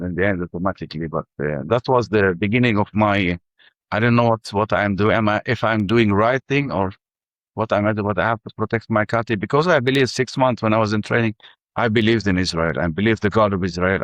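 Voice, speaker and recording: Older guy w arabic accent, low voice, dry close sound, keeps restarting. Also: no echo. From Ramallah. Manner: halting, many restarts and fillers. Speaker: interview guest. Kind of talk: personal testimony.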